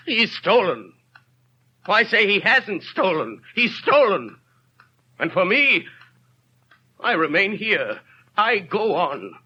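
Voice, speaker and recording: An actor playing a tormented middle-aged mayor in a 1930s radio drama, speaking in an anguished, brooding manner. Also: male voice, high-pitched, sharp tone, husky, exaggerated voice. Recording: dull 1930s radio broadcast.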